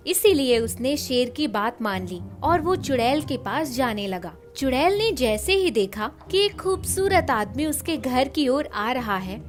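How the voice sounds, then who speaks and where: A woman narrates a children's fairy tale in a scripted storytelling style. Her voice is high-pitched, and music plays clearly behind her.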